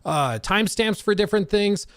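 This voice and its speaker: A man's voice: high voice, expressive, normal speed, clear, resonant tone, dry recording. A man hosting a casual live stream, slightly wheezy and out of breath, rambling with lots of fillers and restarts. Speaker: streaming host.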